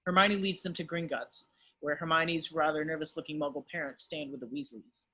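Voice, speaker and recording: Husky, slightly high-pitched male script narrator. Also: fairly even intonation, fairly quick, bright, forceful tone. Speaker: narrator reading stage directions. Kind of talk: scripted narration at a table read.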